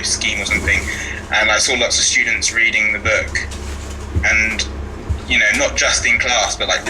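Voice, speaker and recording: A man teacher chatting casually as a podcast guest, in a hesitant, halting way. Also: lowish voice, background noise. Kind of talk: casual chat.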